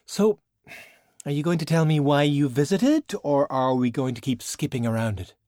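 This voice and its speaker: Man voicing a poised, theatrical woman, pitched up. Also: moderate pace, mellow, dry recording, clean studio sound, close to an everyday voice. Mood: poised, probing. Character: poised, theatrical, vain adult woman, sly mage.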